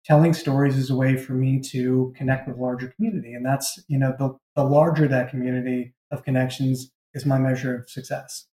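A man's voice: mid-range voice, level tone, a little fast, few pauses, mellow. A man answering as an interview guest, a writer talking reflectively and conversationally about his work. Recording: roomy, echoey pickup.

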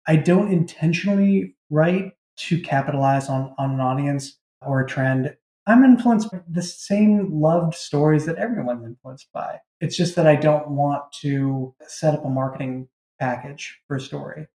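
Mellow, higher-pitched man in echoey room. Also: moderate pace. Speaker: interview guest, fiction writer. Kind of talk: reflective conversational interview answers. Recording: roomy, echoey pickup.

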